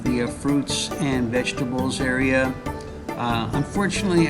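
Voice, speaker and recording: A man hosting a casual travel vlog, talking to viewers in a chatty, digressive, rambling way. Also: mid-range voice. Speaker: travel vlogger and host.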